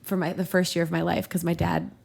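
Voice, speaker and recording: A woman's voice: on the low side, level tone, fast talker, vocal fry, darkish tone, slight background noise. A woman hosting a podcast, reading fan fiction aloud and breaking off into giggly, irreverent, conversational asides. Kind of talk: storybook-style reading mixed with casual banter.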